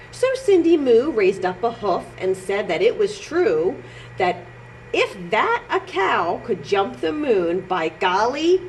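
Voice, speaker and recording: A woman reading a picture book aloud to young children in a sing-song, emphatic way, pausing to comment as she goes. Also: mid-range voice, slight background noise, poor audio. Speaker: children's librarian storytime reader.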